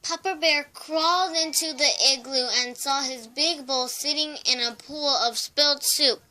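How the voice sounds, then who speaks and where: A young woman narrates a children's storybook. Her voice is high and bright, and she reads slowly and carefully, sometimes repeating a word. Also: poor audio. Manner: careful reading with stumbles and repeated words. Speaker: storybook reader.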